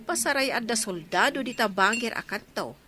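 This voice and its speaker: A woman's voice: fairly high pitch, lively intonation, normal speed, sharp tone, a little hiss or hum. A woman playing an inquisitive, reflective older woman with an Ilocano accent in a radio drama.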